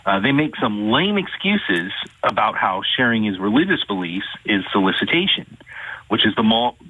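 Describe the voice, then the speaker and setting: A man, an attorney, calling in to an interview and recounting a case in a measured way. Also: fairly low pitch, big pitch swings, husky. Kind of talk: phone-in interview. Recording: tinny phone line.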